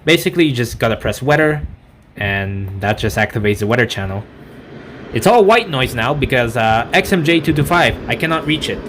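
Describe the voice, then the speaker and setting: A man giving an unscripted product review in a halting, rambling way, often correcting himself mid-sentence. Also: medium pitch, lively intonation, a bit bright, slight background noise. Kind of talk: unscripted gadget demonstration.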